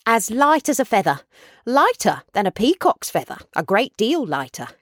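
A woman voicing an excitable, kindly old father, a poor porter who talks in a breathless, repetitive bustle in a storybook reading. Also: medium pitch, unhurried, no room sound, clean studio sound, pitched above the performer's own voice. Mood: eager, bustling. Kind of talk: storybook character voice.

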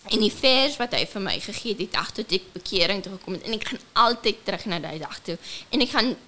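A South African woman with a squeaky, childlike voice, speaking earnestly as a ministry host and switching between English and Afrikaans. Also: animated, fast talker, sharp tone, a little hiss or hum. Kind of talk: personal testimony and event announcement.

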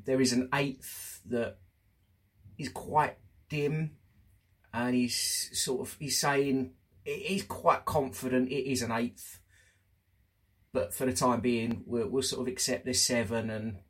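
A man talking candidly and casually as host of a personal diary show, chatty but halting, pausing for emphasis. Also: mid-range voice, breathy edge. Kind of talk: casual spoken diary update.

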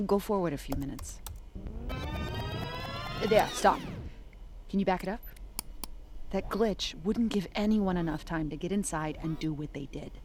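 An actress playing a tough, wry police detective in an audio drama, blunt, dry and commanding. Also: female voice, low voice. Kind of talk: scripted audio drama acting.

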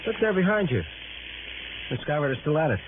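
A man's voice: fairly high pitch, expressive, a little fast, lightly acted. An actor playing an easygoing, genial male companion to an investigator in a 1960s radio drama, folksy and teasing. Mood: bantering. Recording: noisy, narrow-band old radio broadcast.

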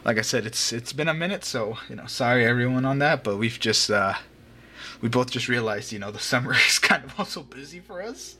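A man's voice: medium pitch, expressive, brisk, sharp tone, breathy edge. A young man hosting a casual podcast, rambling loosely, laughing often and swearing now and then. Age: young adult. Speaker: podcast host. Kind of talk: casual chat. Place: home room with a fan running. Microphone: home mic over a voice call.

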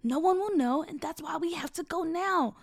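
A woman's voice: extremely high pitch, moderate pace, gravelly, pitched above the performer's own voice. A woman voicing a bossy, anxious young girl in a story, urgent and insistent as she speaks. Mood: pleading, insistent. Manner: insistent, urgent, repeats commands.

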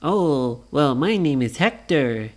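A woman's voice: deep voice, animated, darkish tone. A woman voicing a timid male ghost in a children's story, hesitant and drawing out the words. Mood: slow, curious. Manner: hesitant, drawn-out delivery. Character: timid, drawling male ghost named Hector.

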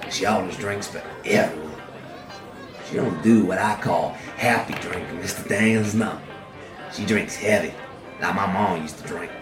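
An actor playing a defiant convict serving life, talking in coarse drawling slang with a sneer. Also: male voice, low voice. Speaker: prison inmate. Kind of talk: dramatic dialogue acting. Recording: roomy echo.

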